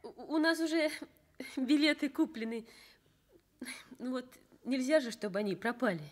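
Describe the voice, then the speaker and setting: Actress doing a sweet earnest young nurse, high voice, insistent and tender, old soviet radio play. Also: female voice, moderate pace, lightly acted. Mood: gentle pleading. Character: young nurse, warm, earnest, playfully scolding, in love. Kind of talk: Soviet radio drama acting.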